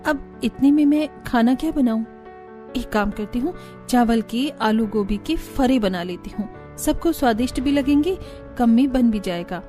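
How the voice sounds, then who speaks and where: A woman plays a young village daughter-in-law with a high voice, hardworking and feisty. It is cartoon dialogue with music underneath. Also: lively intonation, measured pace, dark tone. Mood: earnest.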